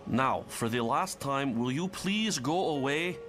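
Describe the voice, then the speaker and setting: A man's voice: mid-range voice, lively intonation, a little slow, over music, close to an everyday voice. A man playing a bitter, stubborn nineteen-year-old Russian mutant strongman in a motion comic, speaking formally and emphatically. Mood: curt, fed up. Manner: formal, uncontracted, emphatic speech.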